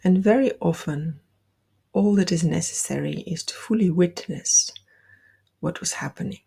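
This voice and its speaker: A woman guides a meditation in a low, dark voice with a creaky edge. She gives gentle instructions in soft, breathy phrases, unhurried and deliberate.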